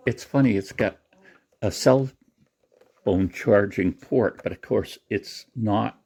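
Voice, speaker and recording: Man, low gravelly voice full of fry, casual product demo, matter-of-fact, rambling. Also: a little mellow. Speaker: presenter showing a secondhand gadget. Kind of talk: casual unscripted product demonstration.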